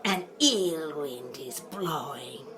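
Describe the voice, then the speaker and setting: Slow, low, portentous prophetess played by a woman. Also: expressive, a crowd in the background. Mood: ominous, foreboding. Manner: solemn, portentous, incantatory. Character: ominous mysterious woman prophesying doom on thieves. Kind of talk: audio drama acting.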